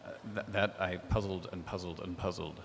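Deep voiced guy, slow and thoughtful, lots of ums, hall echo, bit of hiss. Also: fairly even intonation, vocal fry. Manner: thoughtful, hesitant, many ums. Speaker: literary translator. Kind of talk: panel discussion. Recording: echoey hall.

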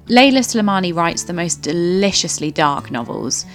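A woman hosting a podcast, giving a smooth, polished scripted introduction. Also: lowish voice, big pitch swings, normal speed. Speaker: podcast host. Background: soft guitar music.

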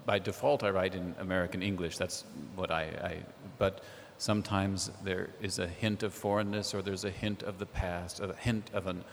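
A man talking as a literary translator on a panel, thoughtful and hesitant, with many pauses and ums. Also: low-pitched, fairly even intonation, a little hiss or hum. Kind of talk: panel discussion. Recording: echoey hall.

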